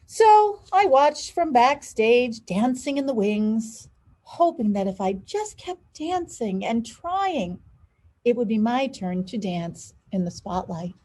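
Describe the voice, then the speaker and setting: Female educator, higher pitch, dark mellow tone, expressive picture-book storytelling. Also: slow. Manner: expressive storytelling with stressed phrases. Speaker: school superintendent reading aloud. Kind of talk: children's picture book read-aloud.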